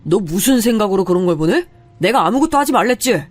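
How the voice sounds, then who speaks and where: An actor playing a young husband in a radio story drama, clipped and dismissive, with faint music behind. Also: male voice, high voice, animated, fast. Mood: irritated. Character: young husband, curt and defensive. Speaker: husband character.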